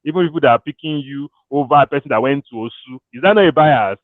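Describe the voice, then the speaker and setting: A Nigerian man giving career advice to an audience in a casual, animated way, slipping into pidgin and laughing often. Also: mid-range voice, fast, dry recording. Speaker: career coach. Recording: thin phone-like dry audio.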